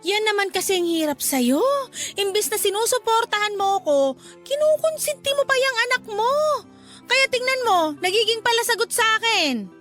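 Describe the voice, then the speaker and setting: A woman's voice: very high-pitched, lively intonation, moderate pace, fairly bright tone, faint music behind. An actress playing a strict, hot-tempered mother who sells goods, nagging and scolding in a radio drama. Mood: stern, scolding.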